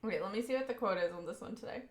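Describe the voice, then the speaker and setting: A woman's voice: medium pitch, racing, echoey room. A young woman with a Southern US accent, chatting casually with her live audience as a streamer and laughing often mid-sentence.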